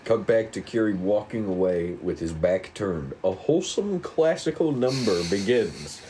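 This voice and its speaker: A man's voice: lowish voice, expressive, unhurried, warm tone. A man narrating a table read, reading stage directions aloud on a slightly noisy recording.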